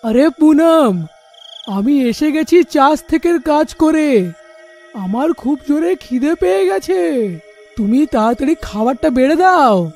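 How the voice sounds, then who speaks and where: A man playing a weary, worried village farmer husband in a cartoon fairy tale, complaining in a plaintive, deliberate way. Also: very high voice, lively intonation, darkish tone, faint music behind, full character voice. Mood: tired, hungry.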